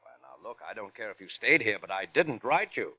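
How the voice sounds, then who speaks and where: A man's voice: lowish voice, lively intonation, a little fast, breathy edge. An actor playing a dry, unflappable, urbane man with wry puzzlement, in old-time radio suspense style. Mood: wry, puzzled. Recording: muffled old radio recording.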